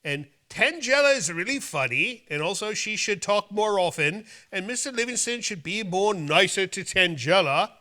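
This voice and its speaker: A man's voice: high-pitched, animated, normal speed, hardly any pauses, sharp tone. A man hosting a show, reading out mail and bantering in a theatrical, playful way. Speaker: host of a horror movie show.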